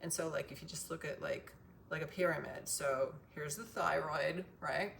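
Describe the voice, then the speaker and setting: A woman giving casual, rambling wellness advice with emphatic swearing and tangents, her voice strained from loud singing. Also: low-pitched, steady tone, moderate pace, creaky, a little hiss or hum. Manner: profane, emphatic, goes off on tangents. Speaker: wellness vlogger sharing a self-cure.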